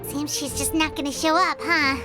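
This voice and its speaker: A woman voicing a small, chirpy, opinionated female fairy companion in a video game, with a squeaky childlike voice. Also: steady tone, normal speed, music in the background, close to an everyday voice. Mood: indignant, doubtful. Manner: refers to herself in third person, exclamatory.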